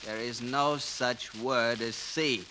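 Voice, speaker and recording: A stern male villager guarding his valley's rules. The voice is very bright and forceful, formal and commanding in old radio drama style, over faint broadcast noise. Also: mid-range voice, fairly even intonation, slow. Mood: stern, ordering. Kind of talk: 1940s radio drama acting. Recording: noisy old 1940s radio recording.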